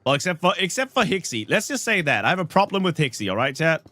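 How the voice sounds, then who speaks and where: Lively Danish-accented man chatting on stream. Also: a little high, fast, faint music behind. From Denmark. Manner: joking, teasing, rambling to chat. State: slightly under the weather. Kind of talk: live-stream chat while playing a game.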